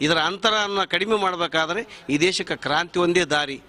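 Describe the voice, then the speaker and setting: A man's voice: high voice, fairly quick. A middle-aged man making an impassioned political speech, emphatic and given to rhetorical repetition. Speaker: member of the public giving views.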